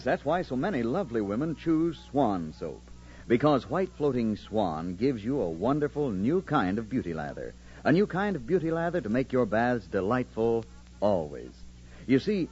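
A man delivers a 1940s radio commercial as the announcer. His polished, persuasive read has lively pitch movement, on a muffled old broadcast with faint noise. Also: normal speed.

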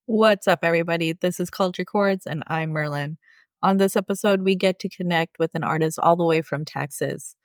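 A man's voice: on the high side, fairly quick, no echo, clean studio sound, soft background music. A man hosting a podcast, asking loose, encouraging questions in casual chat. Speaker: podcast host. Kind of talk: casual interview.

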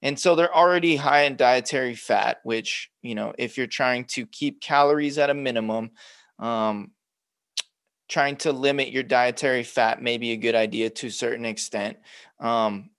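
A man's voice: medium pitch, moderate pace, fairly bright tone, no echo. A man from Los Angeles hosting a casual interview, asking rambling, restarting questions with enthusiasm. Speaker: host.

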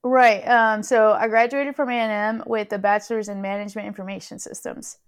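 A woman's voice: mid-range voice, little pitch movement, a little fast, clear, resonant tone. A woman in her twenties co-hosting a casual podcast, chatty with frequent laughs and fillers. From California. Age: 20s. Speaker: podcast co-host and interviewee. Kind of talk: casual conversational interview.

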